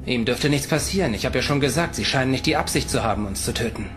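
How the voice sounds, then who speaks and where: A man playing a reasoning prisoner in a sci-fi radio drama, measured and a little hesitant as a planner. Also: medium pitch, a bit bright, lightly acted. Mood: thoughtful. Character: reasoning male captive plotting an escape.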